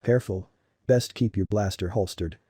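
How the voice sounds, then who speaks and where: Husky, low-voiced alien warrior. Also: male voice, dark tone, dry recording, studio quality. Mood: guarded. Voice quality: husky, gravelly. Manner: formal, measured, solemn phrasing. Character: stoic alien warrior exile, principled and formal.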